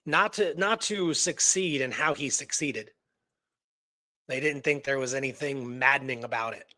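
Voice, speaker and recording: A man's voice: medium pitch, normal speed, bright, forceful tone, no echo. A man co-hosting a radio show, telling a story in a casual, digressive way and often checking in with the listener. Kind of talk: casual conversational storytelling and discussion.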